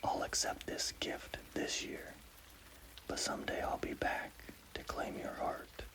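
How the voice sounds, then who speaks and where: A man voicing a cruel, taunting heart-stealing monster in a breathy whisper for an ASMR horror story. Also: measured pace, fairly bright tone, natural voice. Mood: amused, menacing.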